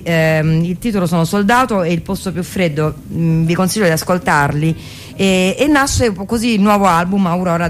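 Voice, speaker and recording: Woman on radio talking music casually, lots of hesitating and repeating, soft music in the background. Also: lowish voice. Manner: hesitant, searching for words, repeats herself. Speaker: radio co-host. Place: radio studio. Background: faint music.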